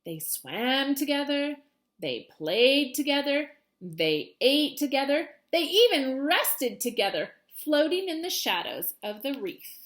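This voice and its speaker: Expressive, high-voiced woman reading a storybook. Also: takes it slowly. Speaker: teacher reading aloud to students. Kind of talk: expressive children's storybook read-aloud.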